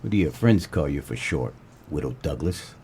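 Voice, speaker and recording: A man playing a wisecracking, deadpan 1940s private detective in a comedic noir radio play, with terse, slangy tough-guy delivery and a husky voice. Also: very deep voice, normal speed, heavily acted. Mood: cool, wry. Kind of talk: comedic noir radio play, first-person narration and dialogue.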